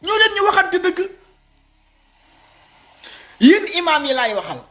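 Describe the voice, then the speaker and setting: A man in his thirties with a Senegalese accent giving impassioned, informal video commentary, animated and repeating his points as he exhorts listeners. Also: extremely high pitch, measured pace. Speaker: social media commentator. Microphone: phone at arm's length.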